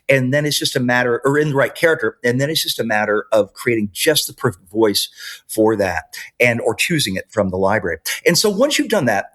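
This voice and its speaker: A man's voice: medium pitch, fairly bright tone. Man explaining his product, conversational. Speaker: podcast guest, software maker.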